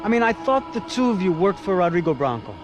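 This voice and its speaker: Pushy questioning sidekick in a crime game, highish voice, very up n down, music in back. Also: male voice, big pitch swings, fast talker. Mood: insistent. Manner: insistent, questioning. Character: brisk, probing male ally delivering revelations. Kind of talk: video game acting.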